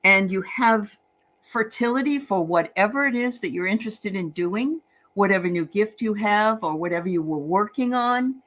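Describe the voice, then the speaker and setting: A woman's voice: mid-range voice, moderate pace, fairly bright tone. Chatty Boston woman reading tarot. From Boston area. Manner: chatty, upbeat and encouraging. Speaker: tarot reader and livestream host. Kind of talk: casual livestream tarot reading.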